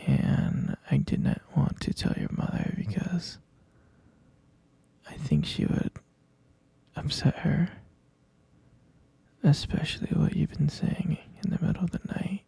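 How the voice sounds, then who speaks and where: A man playing a hesitant, concerned middle-aged stepfather in a scripted ASMR roleplay, speaking in a breathy, gravelly, halting hush. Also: fairly high pitch, sing-song, no room sound, heavily acted. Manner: halting, hushed, drawn-out delivery.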